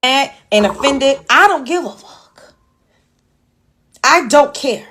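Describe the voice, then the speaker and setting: A woman's voice: high-pitched, lively intonation, takes it slowly, fairly bright tone, slight background noise. A woman in a played clip delivering a blunt, impassioned monologue full of rhetorical questions.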